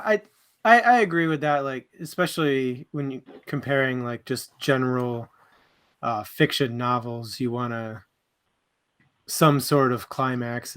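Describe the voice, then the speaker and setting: A man with an average-pitched voice chats casually as a panelist on a book club livestream. His delivery is informal and slightly hesitant as he feels out his opinions. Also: a bit bright. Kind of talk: casual chat about books on a livestream.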